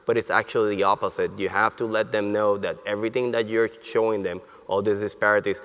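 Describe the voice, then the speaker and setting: A man born in Colombia and raised in Puerto Rico, giving a conference lecture in a halting way with many fillers and restarts. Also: on the low side, a bit bright. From born in Colombia, grew up in Puerto Rico. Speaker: neurologist, conference speaker. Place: conference hall. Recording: long hall reverb.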